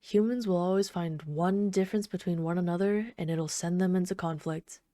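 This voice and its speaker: A woman playing an obsessive, sweetly menacing supervillain in an ASMR roleplay, soft-spoken, doting, possessive and taunting. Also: on the low side, fairly even intonation, moderate pace, no room sound, clean studio sound, lightly acted. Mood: smug, scornful. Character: obsessive yandere female supervillain, sweetly menacing, adoring her captive.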